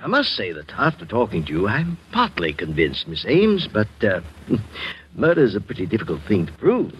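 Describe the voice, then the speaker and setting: A man's voice: fairly low pitch, huge pitch swings, normal speed. An actor playing a polite, middle-aged male doctor, hesitant and hemming, in 1940s radio drama style. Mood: hesitant, courteous. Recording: dull, hissy 1940s radio recording.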